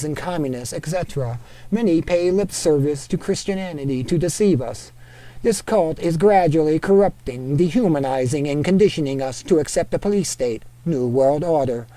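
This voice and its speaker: A man reading an article aloud in a put-on Norwegian accent, steady and deliberate. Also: a little high, no room sound, close to an everyday voice, poor audio.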